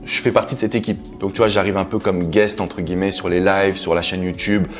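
A man in his thirties from France, a love coach talking business as a guest, relaxed and sure of himself, often filling pauses with "euh" and "tu vois". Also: deep voice, faint music behind. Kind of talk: casual interview chat. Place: bright living room, indoors, on a sofa.

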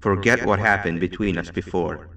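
An actor playing a weary, sardonic yet loyal devil monster in a video game, wry and conversational. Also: male voice, sits low, normal speed, bright, forceful tone. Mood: urgent. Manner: wry, conversational, exclamatory when pressed. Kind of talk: video game character acting. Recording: echoey, distant, music behind.

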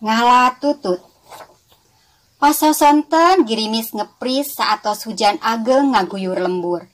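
A woman with a West Java Sundanese accent narrating a scripted children's story expressively, as a storyteller addressing young listeners. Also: on the high side. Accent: Sundanese-speaking West Java.

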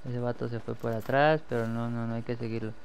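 Young Mexican gamer, gravelly voice, excitable commentary over faint gunfire. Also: male voice, mid-range voice, brisk, a little hiss or hum. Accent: Mexican Spanish. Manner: excitable, swears when killed. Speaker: gameplay commentator. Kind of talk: live gameplay commentary.

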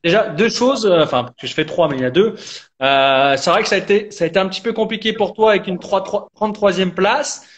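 Casting: young man as informal interview host, fairly high pitch, stumbling through repeated words. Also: highs cut off, slight background noise. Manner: halting, repeats words while forming questions. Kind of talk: casual live interview. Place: indoors, plain room. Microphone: video call, device at arm's length.

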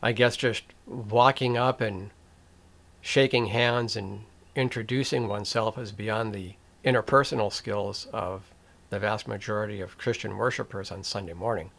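An older man hosting a commentary video, talking straight to the camera in a slow, reflective way, with pauses, restarts and a wry edge. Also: fairly low pitch, fairly even intonation, creaky, fairly bright tone. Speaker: video essay host.

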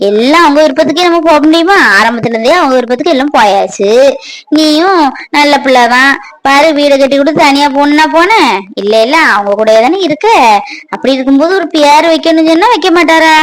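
A woman's voice: sits high, brisk, natural voice. An actress playing a middle-aged mother who scolds her grown daughter indignantly in a family drama. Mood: scolding. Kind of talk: web serial drama acting.

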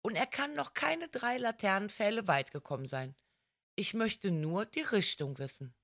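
A woman voicing a gentle, hesitant, absent-minded male priest in an audiobook, speaking mildly and slowly. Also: medium pitch, expressive, no room sound, studio quality, voice pushed up. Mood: gentle, polite. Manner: mild, slow and hesitant. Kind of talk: audiobook character dialogue.

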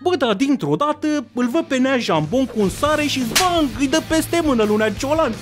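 A man's voice: very high voice, lively intonation, over music. A young man telling a comic story in slangy, colloquial speech full of swearing.

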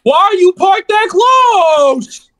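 A man's voice: very high voice, animated, slow pace, bright, forceful tone, full character voice. A man playing an exasperated young man in an improvised game roleplay, stammering as he protests. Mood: shocked.

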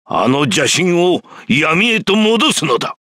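An older man playing a humble, resolute old warrior in a video game, with a gravelly, forceful rasp. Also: fairly high pitch, very animated, moderate pace, no room sound, clean studio sound. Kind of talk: anime-style video game voice acting.

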